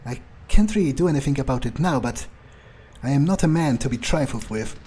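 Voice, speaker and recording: A man voices a haughty, irritable young vampire in a video game roleplay. His mid-low voice is husky, and he grumbles to himself haltingly, full of self-importance. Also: expressive, warm tone. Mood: menacing, indignant. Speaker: gamer roleplaying his character. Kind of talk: in-character let's play monologue.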